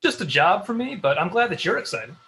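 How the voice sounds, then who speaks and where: A man playing a dry, unimpressed show staffer in his thirties in a comedy table read, deadpan and needling. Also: sits high, a little fast, gravelly, exaggerated voice.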